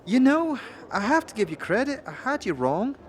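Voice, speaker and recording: A man's voice: very high-pitched, very animated, moderate pace, slight background noise. A man voicing a cheeky, sarcastic talking skull sidekick in a scripted audio drama. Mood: teasing. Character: wisecracking disembodied male skull, cheeky sidekick.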